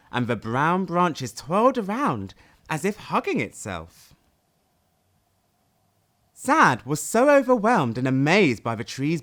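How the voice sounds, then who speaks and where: Very animated, slow male storyteller. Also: mid-range voice. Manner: dramatic, expressive. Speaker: audiobook reader. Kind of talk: children's story narration.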